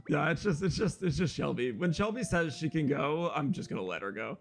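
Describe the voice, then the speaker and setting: A young man with a loud, slightly hoarse voice, rambling casually to his viewers as a live streamer while he plays a game. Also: on the high side, lively intonation, moderate pace. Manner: loud, rambling, talks straight to chat. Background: faint guitar music.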